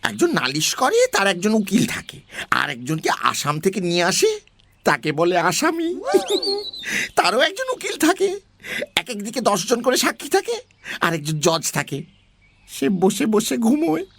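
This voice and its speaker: A woman's voice: mid-range voice, huge pitch swings, unhurried, a bit bright, no echo, full character voice. A woman voicing a silly, giggly nonsense creature that rambles comically in a children's audio story. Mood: know-it-all. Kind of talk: audio story character acting.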